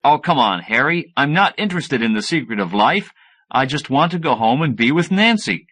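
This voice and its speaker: Blunt, skeptical man with a bright voice, acted. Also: medium pitch, big pitch swings, normal speed. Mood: exasperated. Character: exasperated, skeptical male sidekick scientist, down-to-earth. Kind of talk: audiobook character dialogue.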